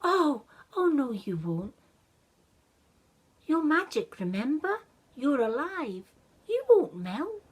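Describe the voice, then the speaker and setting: A woman voicing an earnest, excitable little boy in a children's story, speaking insistently. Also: high voice, slow pace, long pauses, voice pushed up, heavily acted. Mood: worried, upset. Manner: insistent, emphatic.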